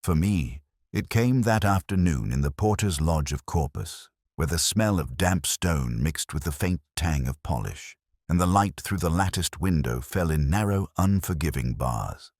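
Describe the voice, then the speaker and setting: A man narrating a scripted first-person detective story in a measured, literary, brooding manner. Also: a bass voice, lively intonation, a little mellow, no room sound, clean studio sound.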